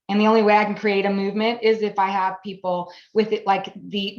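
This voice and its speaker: A woman in her forties, a business founder who learned German as a first language, answering interview questions in an earnest way, building her points through repeated phrases. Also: medium pitch. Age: 40s. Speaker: guest, AI education business founder. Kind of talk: interview conversation. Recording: echoey room, dull lo-fi sound.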